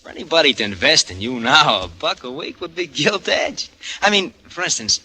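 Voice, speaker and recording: An actor playing a scheming grown son in a 1940s radio drama, wheedling and slangy, tagging lines with huh and no. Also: male voice, fairly high pitch, big pitch swings, brisk, bright, forceful tone, full character voice. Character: slick, scheming, pushy grown son, small-time hustler. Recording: noisy old radio broadcast recording.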